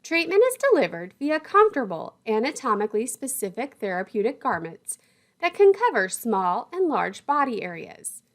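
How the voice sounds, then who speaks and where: A woman delivers a scripted technical lesson in a fairly high voice. Her pitch moves in a lively way from phrase to phrase. The wording is formal and the terms are spoken with care, as a lecturer would. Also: moderate pace. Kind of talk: scripted instructional narration.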